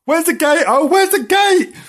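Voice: medium pitch, very animated, takes it slowly, a bit bright, pitched above the performer's own voice. A performer voicing a naughty little boy kitten from a children's storybook, boastful but easily frightened, in plaintive exclamations. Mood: panicked pleading.

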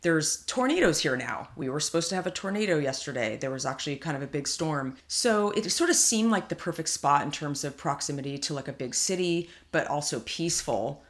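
A woman from California with a low voice talks through her thoughts in a relaxed podcast chat. She rambles, circling back with plenty of fillers and half-started sentences. The tone stays conversational and easygoing throughout. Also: fairly quick, hardly any pauses. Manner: rambling, thinks aloud with many fillers. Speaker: podcast co-host. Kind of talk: casual chat.

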